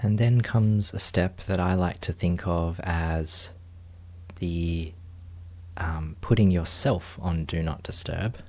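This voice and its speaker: Slow, low-voiced man guiding meditation. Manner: gentle, unhurried, pausing between short phrases. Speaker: meditation teacher. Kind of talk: guided meditation.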